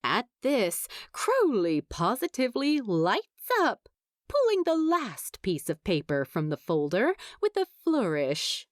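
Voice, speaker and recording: A woman narrating scripted fan fiction as an expressive storyteller. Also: fairly high pitch, slow pace, dry recording, clean studio sound.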